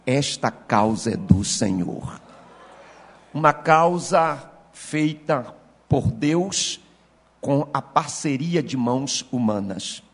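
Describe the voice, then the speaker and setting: Husky man in his fifties, formal church address. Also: mid-range voice, a little mellow, long reverb tail. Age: 50s. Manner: formal and ceremonious, rising to fervent preaching. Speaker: pastor. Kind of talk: formal speech at a solemn church session.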